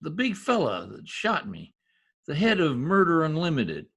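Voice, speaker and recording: A woman playing a shot, dying gangster informer in an old-time radio comedy-mystery, halting, groaning and urgent in a melodramatic way. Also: deep voice, huge pitch swings, creaky, breathy edge, heavily acted. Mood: weak, insistent.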